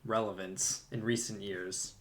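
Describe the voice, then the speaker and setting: A man's voice: lowish voice, little pitch movement, unhurried. A man co-hosting a podcast, discussing films in casual conversation. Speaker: podcast co-host.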